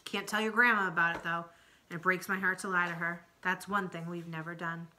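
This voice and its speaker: A woman reading aloud, voicing a weary, protective old grandfather in a low, tired way. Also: little pitch movement, brisk, sharp tone, a little hiss or hum.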